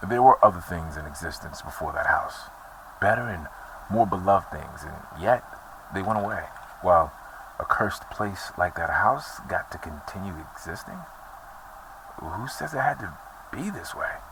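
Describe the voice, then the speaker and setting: An actor playing a weary, troubled man bent on revenge, speaking slowly and grimly in a horror audio drama. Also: deep voice, expressive, creaky, recorded from a distance, noisy background. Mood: bitter, grim.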